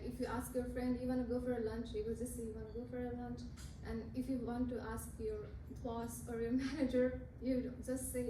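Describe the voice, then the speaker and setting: A young woman, a native Nepali speaker, gives a class speech in a high, flat voice, pausing and restarting as she goes. She is picked up from across an echoing room, with steady noise behind her. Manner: halting, with restarts and self-corrections. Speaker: student speaker. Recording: roomy, from a distance, with noise.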